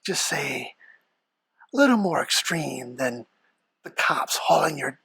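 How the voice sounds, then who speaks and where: A performer voicing the Devil as a sly, haughty old boss, formal and deliberate, husky and whispery, bantering as a podcast guest. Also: mid-range voice, very animated, a bit bright, heavily acted. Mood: sly, menacing. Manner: formal, deliberate, darkly threatening.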